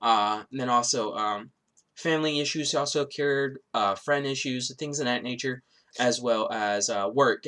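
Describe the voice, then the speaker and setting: A man's voice: fairly low pitch, pushed and bright. A man who is a little sick, with a stuffy nose and sore throat, casually walking through a card game deck, rambling with lots of fillers. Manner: rambling, filler-heavy, restarts often.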